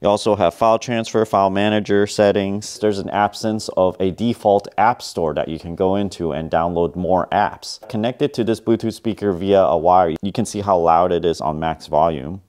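Low, mellow man reviewing gadgets. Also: normal speed, hardly any pauses. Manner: plain, matter-of-fact, clipped listing of features. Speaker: tech reviewer. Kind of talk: product review narration.

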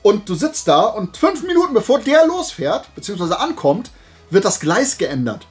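A man's voice: high voice, big pitch swings, normal speed, breathy edge, soft background music. A man vlogging casually in a colloquial storytelling way, acting out bits of dialogue, his throat dry and voice worn from days of talking. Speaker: host of a flea market haul video. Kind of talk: casual anecdotal vlog chat.

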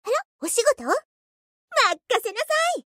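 A woman's voice: extremely high pitch, sing-song, a little slow, dry recording, studio quality, full character voice. A woman playing a cheerful, proud, self-confident young idol in an anime game, with a perky, theatrical, girlish delivery. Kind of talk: anime game character voice lines.

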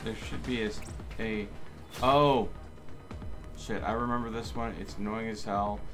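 A man's voice: fairly low pitch, fairly even intonation, a little slow, a bit bright. A man streaming a co-op game at home, talking casually in an exasperated, sarcastic way. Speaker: streamer playing a co-op shooter. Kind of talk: casual gaming chat. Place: indoor room at home. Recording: game music behind the voice. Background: video game music.

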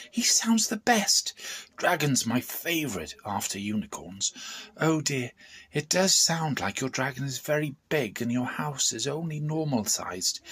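A man's voice: a little high, a bit bright, breathy edge, pitched above the performer's own voice, heavily acted. A man voicing an enthusiastic, childlike little girl reading out her letter in a storybook.

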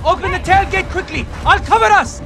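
A man's voice: very high voice, fairly quick, gravelly, heavy background noise. A man playing a fervent, fiercely loyal young rebel fighter in a video game, intense and earnest, with a Middle Eastern accent. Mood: urgent, defiant. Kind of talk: dramatic video game acting. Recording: loud game music and effects behind.

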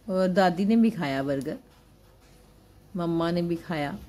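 Slow, low-voiced older woman vlogging. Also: mellow. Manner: slow, homely commentary on family outing. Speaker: vlogger, grandmother. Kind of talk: casual vlog narration. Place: busy indoor shopping mall. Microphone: handheld phone camera.

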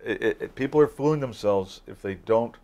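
Male, 60s, lowish pitch. Expert guest in casual office conversation, explanatory, halting with repeated words. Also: vocal fry, dark tone. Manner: halting, repeats words while forming points. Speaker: academic expert, interview guest. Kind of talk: informal interview conversation, explanatory. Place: small office, indoors.

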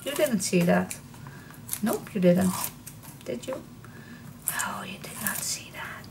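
Middle-aged Dutch-accented woman for a chatty craft demo. Very unhurried, with creaky voice, on a noisy room recording. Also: medium pitch, expressive, whispered. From Netherlands. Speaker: craft tutorial host. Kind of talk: casual chatty hands-on demonstration. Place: indoor craft table.

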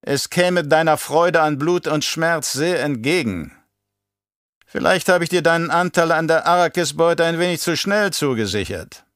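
A man's voice: fairly high pitch, lively intonation, normal speed, a bit bright, no echo, studio quality, pitched above the performer's own voice. A man playing a scheming, imperious older baron in an audiobook, with a mocking, condescending, theatrical delivery. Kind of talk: audiobook character acting.

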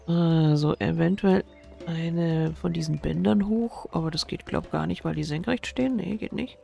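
A woman's voice: on the low side, normal speed, vocal fry, dark tone, faint music behind. A woman with a cold and a stuffy nose gives casual game commentary, puzzling things out aloud as she plays. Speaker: gaming streamer.

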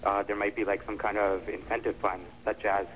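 A man calls in to a sports panel with a lowish voice. He rambles casually and hesitates. The line echoes, with faint noise. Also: flat delivery, a little fast, a bit bright. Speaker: phone-in caller. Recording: echoing, faintly noisy phone call on broadcast.

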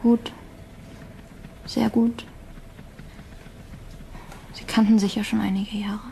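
A woman's voice: medium pitch, little pitch movement, slow, vocal fry, warm tone, slight background noise. A woman acting a grieving, guarded girlfriend in a crime radio play, giving short subdued answers. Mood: subdued, defensive. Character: grieving, guarded young girlfriend of a dead man.

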